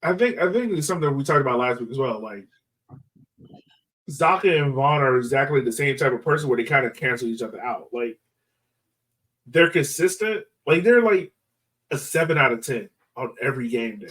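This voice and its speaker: A man's voice: medium pitch, lively intonation, a little fast, fairly bright tone, recorded from a distance, poor audio. A man co-hosting a podcast, talking through soccer analysis in an informal, emphatic way full of filler words. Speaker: podcast co-host, soccer fan. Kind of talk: casual sports analysis chat.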